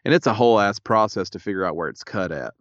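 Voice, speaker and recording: A man, co-hosting a podcast in casual chat, a little stuffy and raspy, laid-back with dry humor. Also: lowish voice, animated, quick pace, dry recording, dull audio. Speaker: podcast co-host.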